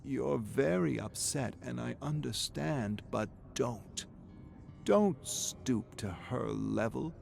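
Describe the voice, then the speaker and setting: A man voicing a supportive, wise mother who speaks earnestly, deliberately and with emphasis. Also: mid-range voice, lively intonation, vocal fry, a little mellow, faint music behind, full character voice. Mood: firm, rousing. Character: supportive, wise middle-aged mother giving firm pep talks.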